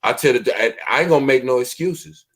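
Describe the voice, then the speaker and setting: Man, deep voice, loud projecting delivery, emphatic casual podcast talk. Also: brisk. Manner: emphatic, projects his voice loudly, preachy street talk. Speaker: podcast host.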